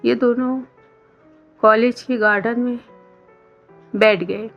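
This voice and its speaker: A woman's voice: a little high, steady tone, slow pace. A woman reading a scripted romantic drama story as a storyteller. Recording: dull lo-fi sound under music.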